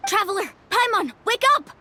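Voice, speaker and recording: Spirited young surfer-warrior for a video game: high, animated, friendly, eager in battle. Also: female voice, moderate pace, background noise. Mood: urgent, eager. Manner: upbeat, eager, bubbly battle calls.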